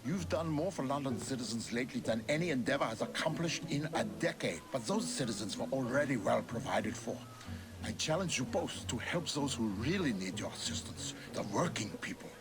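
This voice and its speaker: Casting: man to voice forceful activist, mid-pitched, oratorical, urgent game cutscene role. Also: animated, a little fast, close to an everyday voice. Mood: earnest, urgent. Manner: formal, oratorical, insistent. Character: earnest, forceful male political activist. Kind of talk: dramatic game cutscene acting.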